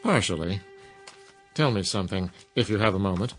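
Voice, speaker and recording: An actor playing an inquisitive, skeptical older chemistry professor in a radio drama, courteous but probing. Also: male voice, deep voice, expressive, a little fast, faint music behind. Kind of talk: modern radio drama acting.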